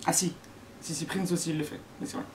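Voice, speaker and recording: A man hosting a satirical comedy monologue, sarcastic and mocking, with a halting delivery full of restarts. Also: fairly high pitch, flat delivery, slight background noise.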